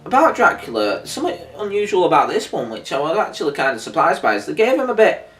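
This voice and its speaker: A man's voice: a little high, very animated, fast talker, a bit bright. Rambling man in an echoey room. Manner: rambling, hesitant, thinking aloud. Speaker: co-host of a review discussion. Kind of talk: casual chat reviewing an audio drama. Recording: echoey room, distant mic, faint music behind. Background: faint music.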